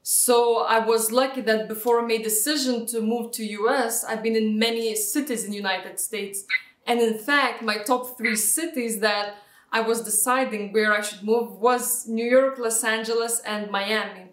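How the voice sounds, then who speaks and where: A woman with a Lithuanian accent talks through a video call, her voice fairly high and sounding distant. The room around her sounds echoey. She speaks in a chatty way, often pausing with fillers and restarting her sentences. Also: fairly even intonation. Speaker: guest, real estate agent. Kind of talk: casual interview answers.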